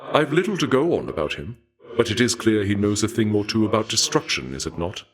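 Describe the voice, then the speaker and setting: A man voicing a courteous, regal god of war in a video game, speaking formally in archaic, measured phrases with a dark fascination for death. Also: fairly low pitch, very animated. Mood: admiring, intrigued. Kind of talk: scripted video game character dialogue.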